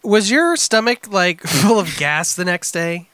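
A man's voice: high-pitched, expressive, measured pace, bright, forceful tone, clean tone, no echo. A man co-hosting a podcast with casual, joking banter. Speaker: podcast co-host.

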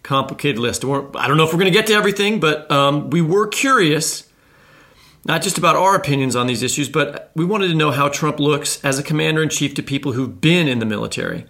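A man's voice: fairly high pitch, animated, fairly quick, sharp tone. A man co-hosting a podcast interview, asking loose, rambling questions. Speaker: podcast co-host.

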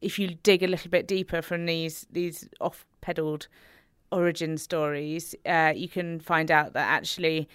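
Low-voiced, smooth woman musing aloud. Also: steady tone, moderate pace, fairly bright tone, no echo, studio quality. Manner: thoughtful, hedging with many "sort of"s. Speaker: food writer, interview guest. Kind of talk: interview answers.

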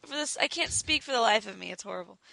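A woman's voice: medium pitch, lively intonation, quick pace, gravelly, bright, forceful tone, no echo, rough recording. A woman co-hosting a podcast in casual chat, laughing often and trailing off mid-sentence. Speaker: podcast co-host and editor.

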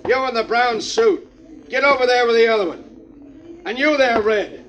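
A brusque police lieutenant. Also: male voice, very high-pitched, normal speed, fairly bright tone, slight background noise. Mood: commanding. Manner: barking orders, interrogating. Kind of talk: 1940s radio drama acting. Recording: dull 1940s radio recording.

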